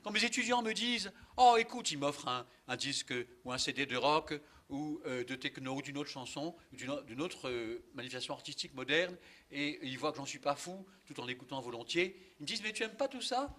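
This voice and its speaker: An older man giving a conference lecture, animated, ironic and polemical, with many asides and fillers. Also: on the high side, a little fast. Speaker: conference speaker, linguist. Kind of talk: lively lecture to an audience. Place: conference hall.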